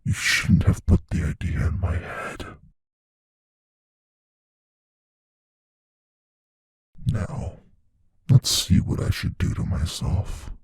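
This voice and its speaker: An actor voicing a playful, possessive, menacing shape-shifting monster in an intimate audio roleplay, musing slowly in a husky, breathy near-whisper. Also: male voice, low voice, a little mellow, no room sound, full character voice. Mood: teasing menace. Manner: slow, musing, savoring pauses.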